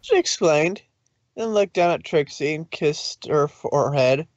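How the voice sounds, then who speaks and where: A man's voice: fairly high pitch, expressive, a little slow, dry recording, dull audio. A man narrating a story informally, with a loose delivery in which he sometimes corrects himself. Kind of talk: informal fan fiction reading.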